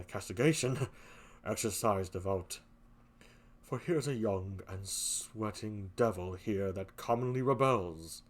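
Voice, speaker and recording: A reader voicing Othello as a low, brooding, jealous male general, speaking slowly and heavily in a play read aloud.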